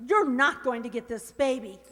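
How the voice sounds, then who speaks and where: An actress playing a feisty, sharp-tongued young mother in an old-time radio comedy. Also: sits high, expressive, moderate pace, slight background noise. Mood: indignant. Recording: reverberant hall, stage radio play.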